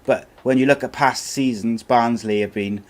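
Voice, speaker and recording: A man's voice: mid-range voice, steady tone, normal speed. A man hosting a live football podcast, matey and casual, reading listener questions and calling his guest "mate". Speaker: podcast host.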